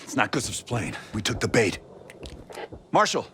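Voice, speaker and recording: An actor playing a seasoned, principled soldier in a video game, blunt and commanding. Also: male voice, fairly low pitch, big pitch swings, moderate pace. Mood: firm. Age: middle-aged. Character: gruff, principled male soldier, seasoned squad veteran. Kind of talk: video game cutscene acting. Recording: loud battlefield vehicle noise.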